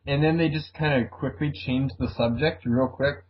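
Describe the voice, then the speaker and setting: A man in a casual group voice call, bringing up a topic in a halting way with repeated words. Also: mid-range voice, fairly even intonation, slight background noise. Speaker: podcast panelist raising topics. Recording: dull lo-fi call audio.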